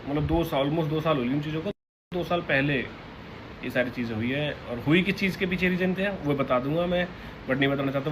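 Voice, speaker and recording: Noisy ambient recording, man, medium pitch, informal creator talk, halting delivery, repeated phrases. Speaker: online content creator. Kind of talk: informal unscripted address to followers. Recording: clearly noisy ambient background.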